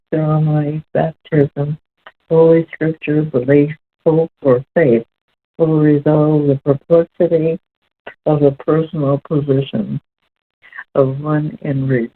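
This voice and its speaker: A woman reading spiritual text aloud as host, in a measured, deliberate way with halting phrasing. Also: low voice. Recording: muffled, very narrow lo-fi sound.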